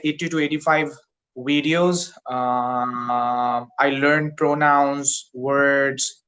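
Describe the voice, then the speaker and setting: A man's voice: mid-range voice, a bit bright, rough recording. Hesitant Pakistani man, Urdu native. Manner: careful, hesitant, many fillers. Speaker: English teacher, student on a tutoring call. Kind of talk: casual conversation practice. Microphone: video call.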